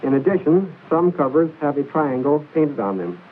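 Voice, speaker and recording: A man narrates an old military training film in a formal, measured, precise instructional style, reading from a script. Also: fairly high pitch, lively intonation, phone-quality sound. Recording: hissy band-limited 1940s film soundtrack.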